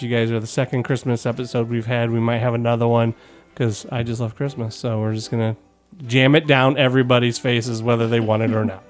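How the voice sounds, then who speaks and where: A man hosting a casual interview in an informal, jokey, rambling way. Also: medium pitch. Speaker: podcast host.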